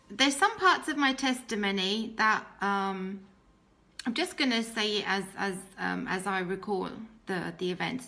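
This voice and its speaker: A British woman in her thirties talks through her own story in a loose, rambling way, earnest and quick to laugh. Her voice is bright and forward, with a little soft music playing behind her. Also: mid-range voice. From Britain. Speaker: testimony speaker. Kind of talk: personal testimony talk.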